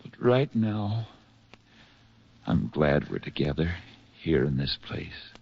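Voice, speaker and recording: An actor playing an exhausted, lovelorn spy on the run in a 1940s radio drama, with a halting, earnest delivery and a husky voice. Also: male voice, extremely low pitch, big pitch swings, exaggerated voice. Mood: weary, yearning. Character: hunted English spy, exhausted, tender, in love. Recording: muffled, husky-sounding 1940s radio recording.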